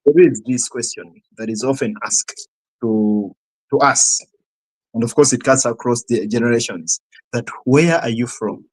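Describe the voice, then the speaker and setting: A man's voice: mid-range voice, unhurried, poor audio. Guy hosting a panel, rambling questions, lots of uh and restarts. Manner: hesitant, many fillers and restarts, rambling questions. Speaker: interview host. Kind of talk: interview questions.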